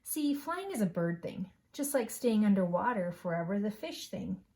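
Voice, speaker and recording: A woman voicing a kindly frog father in a storybook, gently explaining things to his child. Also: mid-range voice, sing-song, normal speed. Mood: hesitant, explaining.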